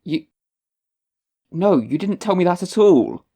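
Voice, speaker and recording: A woman's voice: fairly low pitch, expressive, a little slow, mellow, slightly rough. A woman playing the bewildered, aggrieved ghost of a murdered writer in an audio drama.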